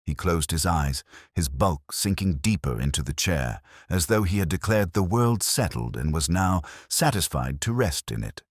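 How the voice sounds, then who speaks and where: Man, very low voice, swooping intonation, narrating detective fiction in a dry close sound. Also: big pitch swings, normal speed, hardly any pauses, dry recording. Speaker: audiobook narrator. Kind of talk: scripted first-person detective fiction narration.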